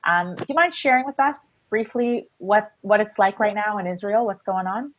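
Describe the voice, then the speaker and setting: A woman's voice: medium pitch, normal speed, dull audio. A woman hosting a video call event, graciously inviting questions and thanking speakers. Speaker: event host and moderator.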